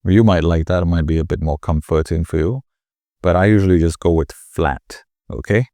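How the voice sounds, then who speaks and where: A man with a slightly nasal voice, casually talking through a software tutorial in a chatty, rambling way. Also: sits low, fairly even intonation, moderate pace, no room sound, clean studio sound. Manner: chatty, rambling, self-correcting. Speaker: tutorial host. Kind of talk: casual screen-recording tutorial.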